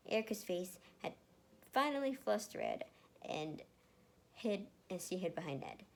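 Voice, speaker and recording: Female hobby author-reader, middle pitch, casual and unpolished, for story narration and viewer chat. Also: big pitch swings, measured pace, vocal fry. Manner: informal, stumbles over reading. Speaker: fan fiction author and reader.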